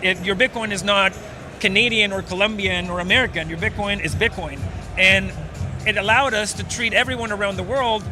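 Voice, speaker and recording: A man's voice: high voice, a little fast, over music. A man from Venezuela, a startup co-founder, explaining at length in a casual interview with many fillers. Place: outdoors at a busy conference.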